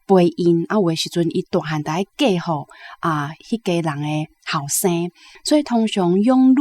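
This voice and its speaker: A woman's voice: lowish voice, a little mellow. A woman with a Taiwanese accent giving conversational literary commentary, explaining with frequent little confirming interjections.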